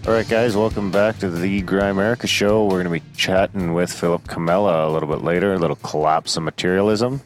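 A man's voice: deep voice, fairly even intonation, fast, few pauses, faint music behind. A man co-hosting a podcast, chatting in an easygoing, bantering way. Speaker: podcast co-host. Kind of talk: casual podcast chat.

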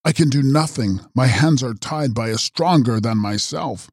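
A man voicing a mysterious, ageless male count who makes grave, measured prophecies in an audiobook. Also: mid-range voice, darkish tone, no echo, clean studio sound, natural voice. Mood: foreboding. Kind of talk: audiobook character reading.

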